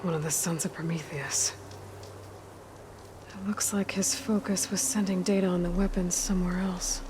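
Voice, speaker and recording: An actress voicing a determined young woman warrior in a video game, musing aloud in a measured, focused way over background noise. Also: on the low side, steady tone, close to an everyday voice. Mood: curious, musing.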